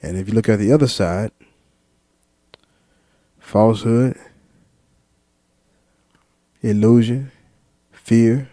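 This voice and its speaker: A man talking freely to his viewers in a reflective monologue, slow and deliberate, listing ideas one word at a time with some fillers and repeats. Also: on the low side, mellow, no room sound, clean studio sound. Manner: lists ideas in measured single words. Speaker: vlogger.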